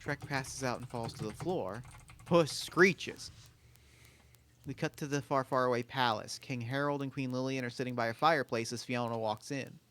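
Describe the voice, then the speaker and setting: A man's voice: mid-range voice, normal speed, a bit bright. A man reading stage directions for a table read, plainly and with the odd sound effect.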